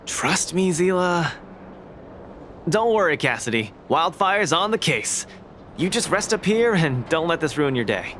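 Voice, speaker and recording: Animated, upbeat young fighter guy. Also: fairly high pitch, unhurried, a little hiss or hum. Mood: reassuring. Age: young adult. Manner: brash, friendly, casual. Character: upbeat, confident young muscular fighter, eager to help. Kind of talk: scripted game voice acting.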